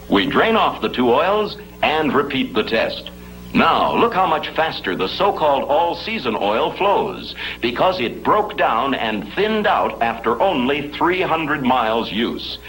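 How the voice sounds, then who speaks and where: A man announcing a 1950s television commercial in an emphatic salesman's pitch. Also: fairly bright tone, poor audio.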